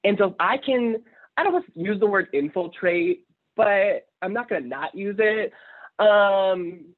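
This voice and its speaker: A young man with a naturally higher-pitched voice tells a candid story with a wry edge and plenty of fillers. He speaks from a home office over a video call. The audio is narrow and phone-like. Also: animated, unhurried. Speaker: alumni panelist. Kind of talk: storytelling on a panel.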